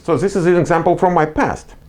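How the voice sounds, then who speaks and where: A man's voice: on the high side, fairly quick, darkish tone. A man with a non-native accent gives earnest, explanatory job interview advice, with frequent fillers and restarts. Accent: non-native English. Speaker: career advice presenter. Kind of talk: instructional talk to camera.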